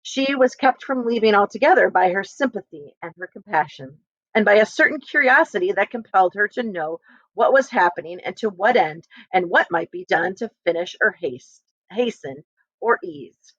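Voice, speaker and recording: A woman's voice: mid-range voice, animated, normal speed, fairly bright tone, no echo, muffled recording. A woman reading a children's novel aloud expressively as a teacher, speaking to students and checking in with them.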